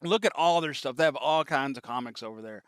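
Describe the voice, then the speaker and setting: A man's voice: medium pitch, a little fast, a bit bright, clean tone, no echo, studio quality. A man hosting a casual fan reaction, talking informally and in a rambling, appreciative way. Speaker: reaction channel host.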